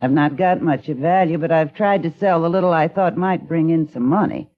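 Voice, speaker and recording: A woman's voice: deep voice, a little fast, close to an everyday voice. An actress playing a worried older widow who is raising her grandson, pleading earnestly for help in a vintage radio drama. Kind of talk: 1950s radio acting. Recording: dull lo-fi old radio broadcast.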